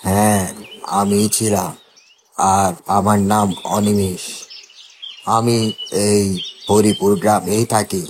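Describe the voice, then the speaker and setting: A calm village man in a horror cartoon, played by a man, talks in a low, flat voice. He is slow and matter-of-fact, with birdsong faintly behind. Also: slight background noise.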